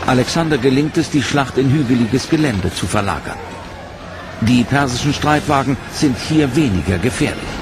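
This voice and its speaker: A man giving scripted documentary narration in a measured, serious storytelling manner. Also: mid-range voice, lively intonation. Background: dramatic music.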